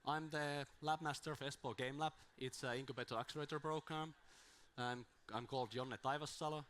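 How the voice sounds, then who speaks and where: A man speaking into a handheld mic at a small conference, his voice strained from days of talking. He wanders through his points with restarts and dry, self-deprecating asides, at an everyday middle pitch. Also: steady tone, fairly quick, fairly bright tone. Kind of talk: informal conference talk.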